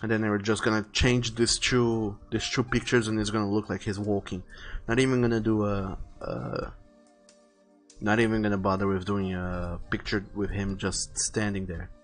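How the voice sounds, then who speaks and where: A man's voice: on the low side, level tone, normal speed. A man streaming casually, rambling and rephrasing as he thinks his plans aloud. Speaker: live streamer illustrating a visual novel. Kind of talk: casual livestream chat, thinking aloud while planning artwork. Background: music.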